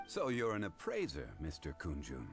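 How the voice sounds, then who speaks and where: A man voicing a calm, wise and modest gentleman scholar in a video game, with formal, measured, gently amused delivery. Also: sits low, soft background music. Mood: polite, composed.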